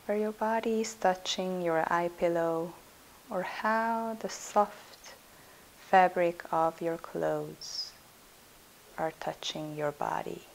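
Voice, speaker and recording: A woman with a low voice guides a meditation as a yoga nidra instructor. She speaks very slowly and soothingly, giving gentle instructions with pauses. A steady hiss sits behind her voice. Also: creaky, poor audio.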